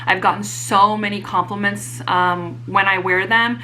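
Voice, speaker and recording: Young woman vlogging about designer stuff, bit hoarse, bright tone, faint hum behind. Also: medium pitch, fairly even intonation, a little slow, low-quality recording. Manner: chatty, self-mocking, many fillers. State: slightly hoarse. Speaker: fashion vlogger. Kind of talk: casual haul chat to camera.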